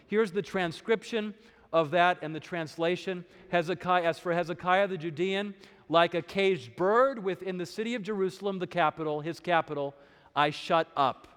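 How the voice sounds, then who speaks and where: A man presenting a lecture in a steady, explanatory way. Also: high-pitched, moderate pace, clean tone, big-room sound. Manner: explanatory, steady, occasional uh fillers. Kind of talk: lecture on archaeology.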